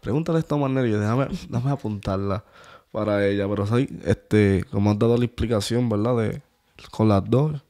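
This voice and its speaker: Male faith-podcast host with a mellow tone; interviews the guest and affirms often. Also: lowish voice, normal speed. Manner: affirming with frequent amens.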